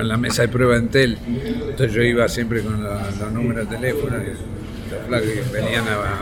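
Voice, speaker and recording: An Argentine-accented man giving spontaneous testimony, halting and colloquial as he recounts memories. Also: on the low side. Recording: very loud crowd chatter behind.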